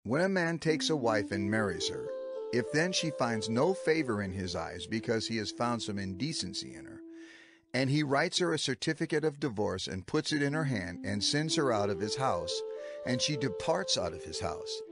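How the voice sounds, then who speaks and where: A solemn old lawgiver. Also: male voice, medium pitch, very animated. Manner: measured, solemn declamation. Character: aged male lawgiver, solemn and commanding. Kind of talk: dramatized scripture reading. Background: background music.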